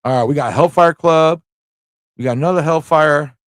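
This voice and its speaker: A man's voice: on the high side, moderate pace, no echo, studio quality. A man from the United States hosting a live trivia quiz, chatting casually with viewers and repeating questions as he reads them out. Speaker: livestream host running a trivia quiz.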